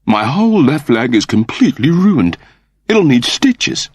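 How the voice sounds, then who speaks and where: A man's voice: mid-range voice, sing-song, a little slow, darkish tone, dry recording, pitched above the performer's own voice. A man voicing a fussy, earnest mechanoid turned human in an audiobook, with a plaintive, pedantic manner. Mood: indignant, whining.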